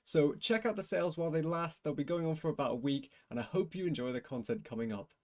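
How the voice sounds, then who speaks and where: A man presenting a brisk, scripted promotional announcement for his channel. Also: a little high.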